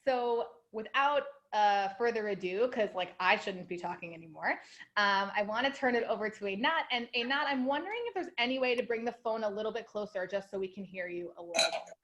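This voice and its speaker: A woman's voice: mid-range voice, brisk, a bit bright, dull audio, slight background noise. Bubbly young woman hosting a video call. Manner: bubbly and chatty, many fillers like "um" and "like". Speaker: host. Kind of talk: hosting a live online program.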